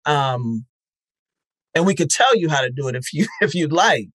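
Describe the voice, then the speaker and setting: A man's voice: fairly high pitch, lively intonation, normal speed, fairly bright tone, no room sound, poor audio. A man being interviewed as the founder of a festival, talking frankly and reflectively with easy laughter. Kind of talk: casual interview chat.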